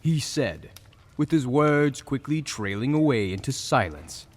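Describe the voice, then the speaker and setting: A man's voice: medium pitch, big pitch swings, a little slow. A man narrating a fantasy story in a dramatic, scripted storytelling style. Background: soft music.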